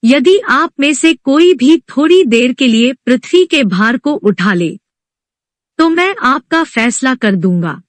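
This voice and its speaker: A woman storyteller plays a wise serpent god from a devotional storybook, a calm judge bearing a great burden. The words come slowly and formally, with authority and wide, expressive intonation. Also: high-pitched, no echo. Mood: calm, firm.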